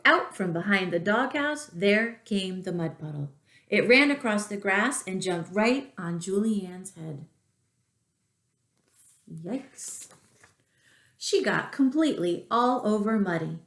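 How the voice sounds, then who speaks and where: A woman reading a storybook aloud to young children, expressive and deliberate, with asides to the listeners. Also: mid-range voice. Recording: echoey room.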